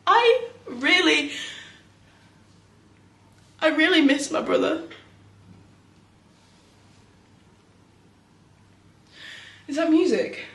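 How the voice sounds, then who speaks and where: A woman acting a high-voiced, restless young actress. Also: takes it slowly, pushed and bright, heavily acted. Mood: subdued, grieving. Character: restless, self-doubting young actress in group therapy, raw and defensive. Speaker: actress performing a stage monologue. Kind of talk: theatrical dramatic monologue. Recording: echoey room recording.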